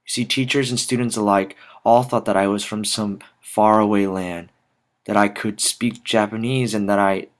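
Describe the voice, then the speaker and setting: A young man with an American accent speaks in a fairly low voice. He delivers a rehearsed personal narrative, setting out each phrase deliberately and leaving pauses for dramatic weight. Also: fairly even intonation. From Dallas, Texas, United States. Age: young adult. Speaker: student art teacher telling a personal story.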